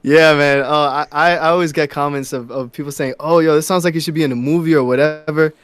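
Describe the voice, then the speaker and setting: A young man from New York, a musician guest in a casual interview, talking softly and haltingly with many fillers and restarts. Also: on the high side, fairly even intonation, clean tone, no room sound.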